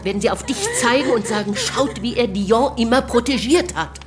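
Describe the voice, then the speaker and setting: A woman's voice: fairly high pitch, normal speed, over music, natural voice. An actress playing an exasperated, demanding woman in a radio drama, insistent and reproachful. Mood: reproachful, urgent.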